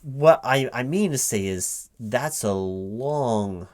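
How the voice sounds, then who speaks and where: A man playing a baffled, incredulous recruiting manager in a spoken ASMR job interview roleplay, halting and repeating himself in disbelief. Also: mid-range voice, animated, a little mellow. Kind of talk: one-sided ASMR roleplay, softly paced but spoken aloud, improvised.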